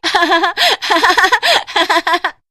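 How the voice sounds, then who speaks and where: A woman voicing a menacing, gleeful witch girl among ghost children in a cartoon horror story, taunting with drawn-out threats and cackles. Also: very high voice, expressive, pushed and bright, no room sound, studio quality, pitched above the performer's own voice, heavily acted. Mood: taunting, cackling.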